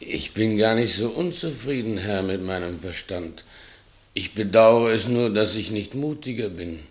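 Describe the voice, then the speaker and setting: An actor playing a fearful, conscience-ridden servant and reluctant villain, plaintive and deferential, in a 1960s radio play. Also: male voice, fairly low pitch, unhurried, close to an everyday voice. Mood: fearful, apologetic. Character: timid, conscience-ridden male servant, reluctant thief and killer.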